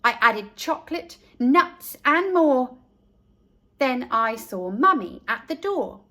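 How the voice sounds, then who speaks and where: A woman's voice: high voice, animated, takes it slowly, sharp tone, full character voice. A woman voicing a cheeky, self-assured three-year-old girl who narrates a rhyming storybook in an innocent sing-song manner. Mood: cheerful, smug.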